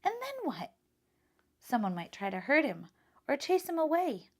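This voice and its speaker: A woman reading a children's picture book aloud as a teacher, in an expressive, instructive style. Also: sits high, moderate pace.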